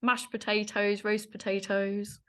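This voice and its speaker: Bright bubbly woman guest. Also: mid-range voice, level tone, measured pace. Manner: bubbly, giggly, self-deprecating. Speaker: podcast guest. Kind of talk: casual chat.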